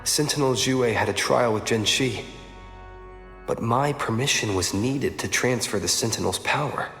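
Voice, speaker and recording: An actor playing a questioning young game hero thinking to himself, with an echoing effect on the voice. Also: male voice, medium pitch, normal speed, a bit bright. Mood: doubtful, pondering. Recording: long echoing reverb, music behind.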